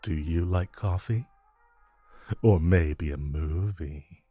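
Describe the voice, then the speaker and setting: A man playing a suave, flirtatious supervillain in a romantic audio roleplay, talking in a smooth, teasing, unhurried way with drawn-out pauses. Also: low-pitched, dark tone, faint music behind. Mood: playful, flirty. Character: suave, flirtatious male supervillain visiting his tailor. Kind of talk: romantic audio roleplay acting addressed to the listener.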